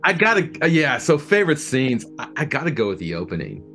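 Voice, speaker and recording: A man's voice: a little high, wildly swooping pitch, quick pace, a bit bright, faint music behind. A man being interviewed as a producer, talking earnestly and enthusiastically, often repeating words as he searches for his phrasing. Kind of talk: reflective interview talk.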